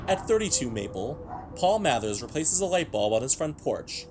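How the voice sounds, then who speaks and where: An actor playing the solemn, ominous narrator of an uncanny radio play, reading scripted narration. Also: male voice, medium pitch, very animated, normal speed, noisy background, natural voice. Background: loud music.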